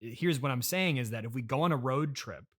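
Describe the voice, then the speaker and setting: A man's voice: medium pitch, expressive. Hesitant man hosting a casual comedy podcast. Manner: hesitant, halting, politely reluctant. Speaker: podcast host. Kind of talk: improvised comedy interview, casual chat.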